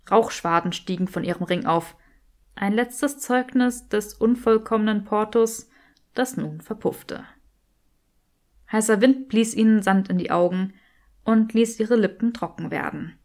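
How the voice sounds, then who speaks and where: A woman's voice: mid-range voice, a little slow, a little mellow. Woman narrating a fiction audiobook.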